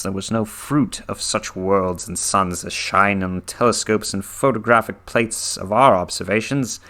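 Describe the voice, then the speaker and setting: A man giving a dramatic reading of literary narration, theatrical and halting, often dropping hushed at the ends of phrases. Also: fairly low pitch. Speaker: narrator reading a horror story aloud. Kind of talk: dramatic literary read-through.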